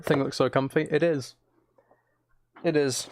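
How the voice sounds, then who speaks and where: A man livestreaming a game with a flat, mellow voice, rambling casually and softly to his viewers in a low-key way. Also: a little slow. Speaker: live streamer. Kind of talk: casual gaming livestream chat, soft ASMR-like. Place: desk at home, live stream.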